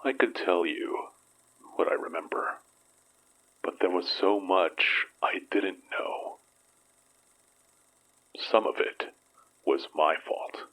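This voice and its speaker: A bereaved father in an intimate horror audio drama. His voice is very deep and breathy, and he speaks slowly and haltingly, weary with regret over his lost son. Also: a bit bright, heavily acted, low-quality recording. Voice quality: husky, breathy low voice.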